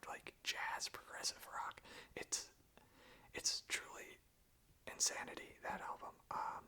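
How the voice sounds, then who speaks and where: An ASMR host speaking in a breathy, unvoiced whisper, gentle and enthusiastic in manner. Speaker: ASMR host chatting about and reading song lyrics.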